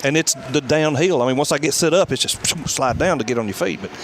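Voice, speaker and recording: A man's voice: on the high side, quick pace, a little hiss or hum. A man, hosting a talk show in a folksy, joking way. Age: 50s. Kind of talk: casual interview chat.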